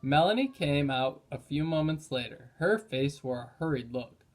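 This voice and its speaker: A man's voice: on the high side, expressive, moderate pace. A man narrating a children's chapter book in a read-aloud style.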